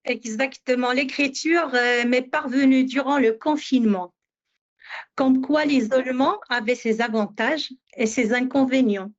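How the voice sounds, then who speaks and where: A woman in her fifties from Morocco, a poet answering interview questions hesitantly, with many pauses and fillers. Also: sits high, dull audio. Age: 50s. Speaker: poet guest.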